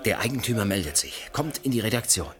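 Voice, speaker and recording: An actor playing a cocky, ambitious newspaper reporter with a persuasive manner in a radio play. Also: male voice, lowish voice, sing-song, fast talker, crowd noise. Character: glib, ambitious, debt-ridden newspaper reporter.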